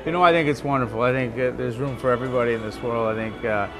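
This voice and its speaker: A man's voice: mid-range voice, steady tone, racing, music in the background. A middle-aged man from Canada who runs a coffee shop, talking candidly in an interview inside his cafe. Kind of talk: casual interview.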